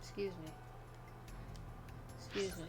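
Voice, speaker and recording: A woman's voice: medium pitch, fairly even intonation, takes it slowly, over music. A woman streamer making offhand, joking asides while playing a game. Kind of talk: casual let's-play commentary.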